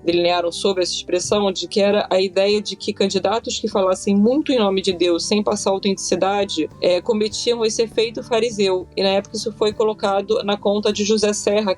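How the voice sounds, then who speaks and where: A woman's voice: medium pitch, a little mellow. A woman in her thirties with a Carioca accent from Rio, a journalist speaking on a panel in a chatty, thoughtful way with many fillers. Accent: Carioca Brazilian Portuguese. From Rio de Janeiro, Brazil. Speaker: journalist guest. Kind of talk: casual panel conversation.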